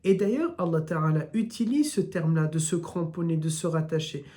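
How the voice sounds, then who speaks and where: Warm-voiced southern French man teaching. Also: a little high, normal speed. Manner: builds points through repeated lists, speaks straight to listeners. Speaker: Islamic teacher. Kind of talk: live religious lesson.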